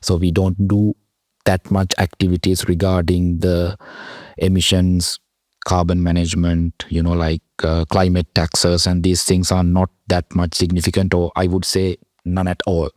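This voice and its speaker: A young man from Sri Lanka, a PhD student, talking as a podcast guest in a halting way with many fillers. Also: low-pitched, monotone, darkish tone, no echo, studio quality. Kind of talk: podcast interview.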